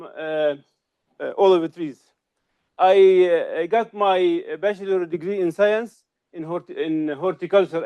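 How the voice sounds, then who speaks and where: Middle-aged man, high smooth voice, halting seminar delivery, slightly muffled recording. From Syria. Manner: halting, frequent hesitations and restarts. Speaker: research associate giving a seminar. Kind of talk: academic seminar lecture.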